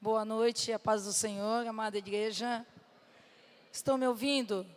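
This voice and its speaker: A woman with a Brazilian Portuguese accent and a slightly hoarse voice, giving a personal testimony to a church congregation as a guest missionary. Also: a little high, steady tone, moderate pace, soft background music. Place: church hall. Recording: reverberant hall sound through PA.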